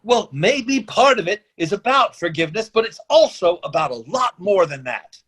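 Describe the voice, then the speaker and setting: An actor in a readers theatre piece playing an idealistic father in midlife who speaks haltingly and persuasively. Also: sits high, very animated, a bit bright, breathy edge, full character voice. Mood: pleading. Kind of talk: staged readers theatre reading.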